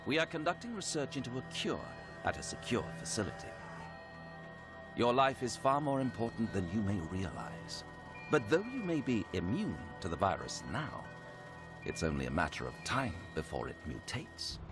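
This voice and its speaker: An actor playing a cold, faintly sinister pharmaceutical doctor in a video game, speaking formally and deliberately with condescension. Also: male voice, fairly low pitch, very animated. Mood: formal, persuasive. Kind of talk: scripted video game dialogue. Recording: music under the voice. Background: music.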